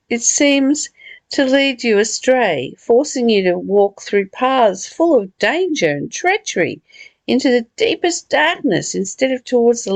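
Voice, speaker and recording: Slow-talking woman leading a study. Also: mid-range voice, gravelly, no echo. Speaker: host of a spiritual book study. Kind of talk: reading aloud and reflecting casually in a study discussion.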